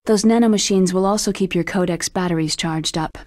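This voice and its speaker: An actress playing a cool, brisk military scientist in a video game, explaining things in a matter-of-fact, clinical manner. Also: female voice, lowish voice, darkish tone, no echo, clean studio sound, natural voice. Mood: cool, informative. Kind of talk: video game cutscene acting.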